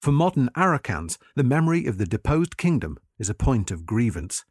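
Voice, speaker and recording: A man's voice: medium pitch, sing-song, brisk, breathy edge, no echo, studio quality. A man reading a magazine article aloud as scripted, documentary-style narration.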